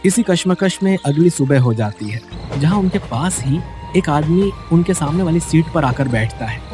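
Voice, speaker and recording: High-pitched man narrating a dramatic story. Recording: music bed behind the voice. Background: background music.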